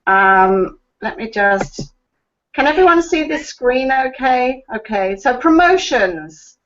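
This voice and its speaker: A woman hosting an online meeting, polite and quick to laugh. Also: high voice, animated, muffled recording. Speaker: meeting host.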